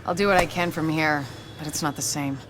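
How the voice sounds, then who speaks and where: Actress as a low-voiced, tough female agent. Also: steady tone, a little fast, noisy background, close to an everyday voice. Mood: frustrated, regretful. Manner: frank, determined, profane. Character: tough, injured female agent, fiercely attached to her city. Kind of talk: video game cutscene voice acting.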